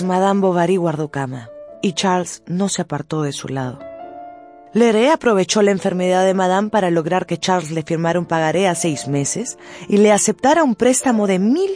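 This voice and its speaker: A woman's voice: fairly low pitch, a little mellow. A woman as narrator, giving a dramatic scripted literary narration for a radio drama. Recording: music under the narration.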